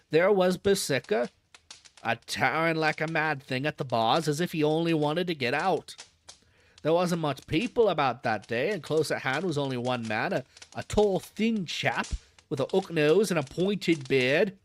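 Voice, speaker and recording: A man reading aloud and voicing a gruff old male zookeeper, grumbling in a rough dialect with dropped h's. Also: on the high side, lightly acted.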